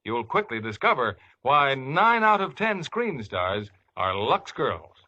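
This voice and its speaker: A man's voice: medium pitch, sing-song, slow pace, dull audio, over loud music. An American man reading a scripted 1950s radio commercial as announcer, in a polished, emphatic salesman's manner.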